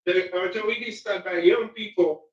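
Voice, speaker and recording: A man from Haiti with a Haitian French accent, a French speaker talking haltingly in English and searching for words. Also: sits high, roomy sound, distant mic, muffled recording. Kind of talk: casual conversation.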